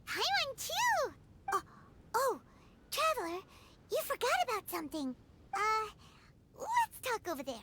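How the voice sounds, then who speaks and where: A woman voicing a small, excitable, bossy fairy companion in a video game, with a squeaky childlike voice. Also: a little slow, long pauses, a little hiss or hum, heavily acted. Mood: eager. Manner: talks of self in third person. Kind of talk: animated video game acting. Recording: game music behind voice.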